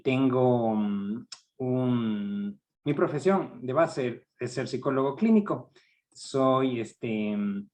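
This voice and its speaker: A middle-aged man with an Ecuadorian accent leading a university writing seminar, speaking in a measured, hesitant way with frequent fillers. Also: medium pitch, a little mellow. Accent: Ecuadorian Spanish. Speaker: university research director giving a workshop. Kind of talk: academic training seminar.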